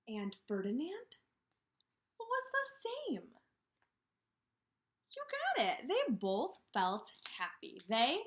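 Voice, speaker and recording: A woman teaching kindergarteners through a read-aloud, speaking in simple, deliberate phrases and posing questions to the children. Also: on the high side, fairly bright tone. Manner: questioning, pausing for children to answer.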